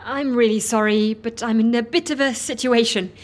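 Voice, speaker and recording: Actress doing a polite lady in a radio play, highish voice, apologetic, everyday delivery. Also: steady tone, normal speed, close to an everyday voice. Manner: courteous, apologetic. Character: polite, resourceful woman asking strangers for help. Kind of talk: naturalistic audio drama acting.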